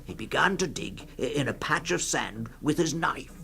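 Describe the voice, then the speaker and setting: An actor playing a hesitant male storyteller in a 1960s radio reading, gravelly and halting, telling a grim tale with many uhs and pauses. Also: medium pitch, lively intonation, sharp tone. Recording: 1960s radio production with music.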